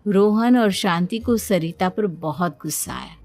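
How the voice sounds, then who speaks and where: A woman's voice: on the low side, lively intonation, unhurried, darkish tone, no echo. A woman narrating a scripted cartoon family story as a storyteller. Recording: background music under the voice.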